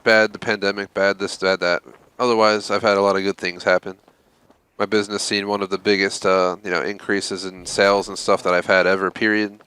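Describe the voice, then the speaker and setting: A man's voice: lowish voice, flat delivery, a little fast, bright, forceful tone, smooth. A man hosting a live game stream, chatting casually and rambling with lots of fillers. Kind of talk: casual live-stream chat while gaming.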